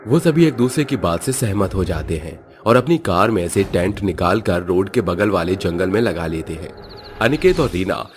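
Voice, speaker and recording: A man's voice: low-pitched, expressive, normal speed, slight background noise. A man reading scripted horror story narration as the narrator. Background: music.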